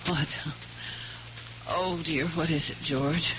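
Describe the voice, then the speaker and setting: Slow, frail invalid wife. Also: female voice, sits low, fairly even intonation. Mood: gentle, drowsy. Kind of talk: 1970s radio drama acting. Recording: muffled, hissy old radio recording.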